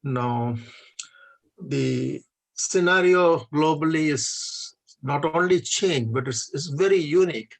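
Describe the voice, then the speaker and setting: Gravelly older man from India. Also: a little high, low-quality recording. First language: Hindi. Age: 70s. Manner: deliberate, with frequent hesitation fillers. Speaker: guest, retired engineer. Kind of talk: measured conversational interview answers.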